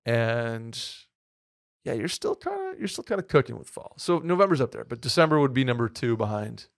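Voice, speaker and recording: Hoarse nasally guy rambling on a podcast, upbeat, mid pitch, dry close mic. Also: big pitch swings, a little fast, dry recording. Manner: rambling, filler-heavy, upbeat. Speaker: podcast co-host. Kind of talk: casual chat.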